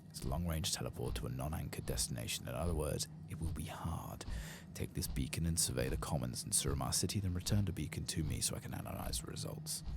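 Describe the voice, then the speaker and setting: A man with an extremely deep voice talks softly as he plays a game for an ASMR audience. His delivery is murmured and thick with creak. Loud background noise sits behind him. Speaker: gaming streamer. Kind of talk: soft-spoken ASMR game playthrough.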